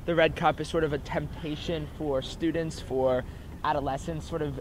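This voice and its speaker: A man answering a street interview with a thoughtful, drawn-out analogy. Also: a little high, fairly even intonation, a little hiss or hum. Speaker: passerby interviewee. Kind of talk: casual street chat.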